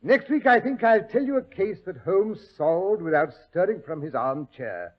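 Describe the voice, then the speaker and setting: An actor playing a bluff, excitable older doctor who blusters, in a 1940s radio drama. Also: male voice, high voice, normal speed. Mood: genial. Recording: dull old radio recording.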